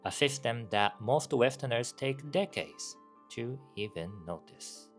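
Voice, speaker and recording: A man's voice: mid-range voice, lively intonation, unhurried, faint music behind. A Japanese man with a Japanese accent, a campus minister giving a scripted explainer talk with rhetorical questions and asides.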